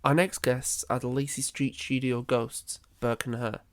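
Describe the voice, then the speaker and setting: A woman's voice: a bass voice, level tone, a little slow, no room sound. Woman playing a dry-sounding, matter-of-fact presenter. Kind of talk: comedy audio drama, scripted podcast narration.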